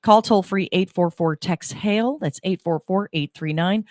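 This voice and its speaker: A woman's voice: fairly low pitch, a little slow, a little mellow, no room sound, studio quality. Stuffy-nosed woman hosting talk radio. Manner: chatty, upbeat. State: stuffy nose. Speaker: radio host. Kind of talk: community radio talk show.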